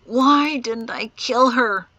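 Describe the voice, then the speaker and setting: Woman acting out a bitter young male prisoner, tense and high. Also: lively intonation, a little slow, sharp tone, muffled recording. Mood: anguished, furious. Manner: agitated, sarcastic. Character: bitter, hot-tempered young man held prisoner in chains. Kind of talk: audiobook character acting.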